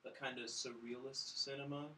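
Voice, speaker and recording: A man's voice: mid-range voice, flat delivery, normal speed, sharp tone, distant mic, slight background noise. A young American man, a film student guest chatting casually in a joking, self-deprecating way. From United States, St. Louis area. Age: young adult. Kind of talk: casual chat.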